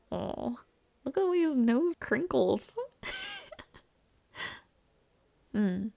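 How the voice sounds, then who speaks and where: A shy but playful college girl acted by a young woman, speaking in an intimate roleplay style. Her pitch moves around a lot and her pace is unhurried, with a creaky edge to the voice. She comes across as warm, hesitant and gently teasing. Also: full character voice. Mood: fond, teasing. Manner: hesitant, giggly, softly teasing. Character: warm, shy, playful female university student with a crush. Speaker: audio roleplay performer. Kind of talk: intimate audio roleplay acting.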